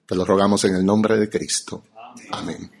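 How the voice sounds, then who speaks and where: A man with a Dominican Spanish accent preaching and teaching as a pastor, emphatic, with rhetorical questions and deliberate pauses. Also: low-pitched, fairly even intonation, darkish tone. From Dominican Republic. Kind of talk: teaching sermon to an audience.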